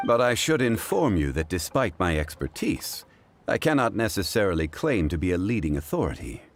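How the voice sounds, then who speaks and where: A refined gentleman scholar from a video game. The voice sits low and moves with expressive, formal courtesy, every phrase measured and calm, over faint music. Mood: composed. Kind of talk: video game character acting.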